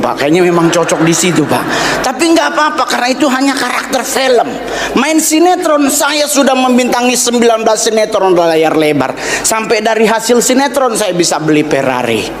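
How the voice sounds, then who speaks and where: High-voiced self-mocking man in an echoey hall. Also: heavy background noise. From Indonesia, North Sulawesi. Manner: teasing, self-mocking, addresses the guest of honor often. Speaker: stand-up comedian. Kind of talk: stand-up set at a formal event. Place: large indoor event hall.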